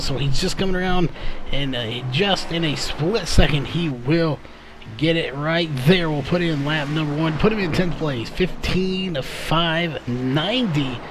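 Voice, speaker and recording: A man calling qualifying times as a race commentator, his voice going hoarse. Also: a little high, noisy background. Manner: steady, methodical calling of lap times. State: losing his voice. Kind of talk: play-by-play commentary.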